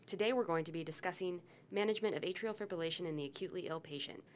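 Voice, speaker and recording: A woman's voice: lowish voice, very fast. Upbeat woman hosting a medical podcast. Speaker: host, physician.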